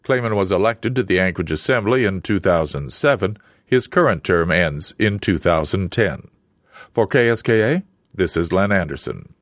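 A man reading a scripted radio news report in a measured, even newsreader delivery. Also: sits low, no room sound, clean studio sound.

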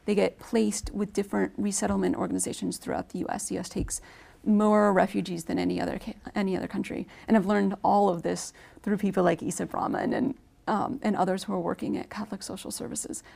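A woman's voice: mid-range voice, a little fast, gravelly, mellow. A woman speaking on a radio-style panel discussion, chatty and enthusiastic, often restarting her sentences.

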